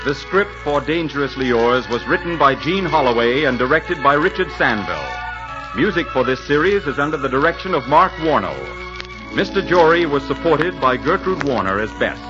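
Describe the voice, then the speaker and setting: A man reading scripted copy as a 1940s radio announcer, polished and emphatic. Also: a little high. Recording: muffled 1940s radio, faint music behind.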